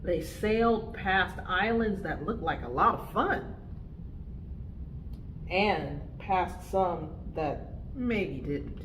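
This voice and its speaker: A woman reading a picture book aloud to preschoolers, with expressive, deliberate phrasing. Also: fairly low pitch, echoey room, noisy background. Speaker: storytime reader for preschoolers.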